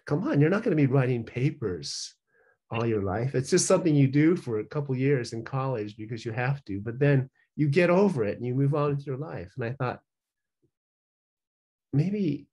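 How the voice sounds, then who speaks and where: A man's voice: medium pitch, expressive, moderate pace, darkish tone, slightly rough. A man in his sixties, a professor and author, talking thoughtfully on a video call from a home study, rambling with many restarts. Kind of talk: casual reflective conversation.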